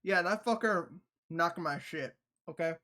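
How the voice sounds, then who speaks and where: A man with a fairly high-pitched voice gives casual livestream commentary. He talks in loose slang with frequent swearing. He tells things like an animated storyteller. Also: a little slow. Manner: profane, slangy. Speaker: livestreamer reacting to a video.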